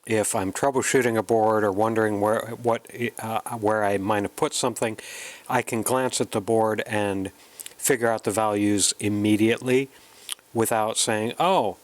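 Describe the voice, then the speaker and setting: A man narrating a hands-on tutorial in a casual, halting way, thinking aloud as he works. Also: lowish voice, fairly even intonation, no echo. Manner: unhurried, halting, thinking aloud while working. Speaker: electronics hobbyist presenting a kit build.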